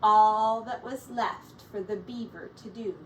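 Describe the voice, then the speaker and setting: A woman teacher reading a children's story aloud, explaining things gently and simply as she goes. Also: medium pitch, steady tone, unhurried, distant mic, dull audio, slight background noise.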